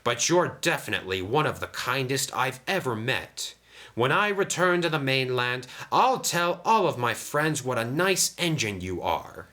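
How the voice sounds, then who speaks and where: A man's voice: mid-range voice, sing-song, unhurried, sharp tone, voice pushed up. A man voicing a cheerful, naive young male engine in a children's story, polite and earnest. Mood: grateful.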